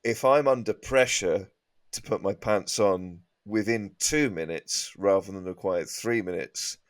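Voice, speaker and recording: A man's voice: low voice, no echo. A man, a comedian guesting on a panel, telling comic anecdotes in a dry, understated, hesitant way.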